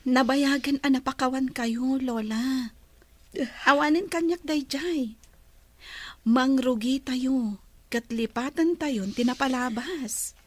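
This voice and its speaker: A woman's voice: high-pitched, slow, no echo. A woman with an Ilocano Filipino accent acting a forgiving, dutiful young daughter in a radio drama. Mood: warm, reassuring. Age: young adult.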